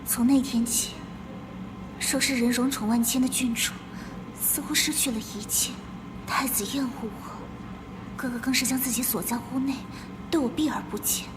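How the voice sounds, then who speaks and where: A woman voicing a melancholy young noblewoman who narrates her tragic love in an animated period romance. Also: a little high, normal speed, noisy background, lightly acted. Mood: sorrowful. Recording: loud background music.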